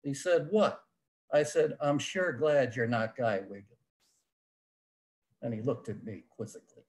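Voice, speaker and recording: A man's voice: medium pitch, animated, measured pace. A man telling a personal story casually on a video call, acting out bits of dialogue as he goes. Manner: acts out short dialogue exchanges. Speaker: amateur storyteller.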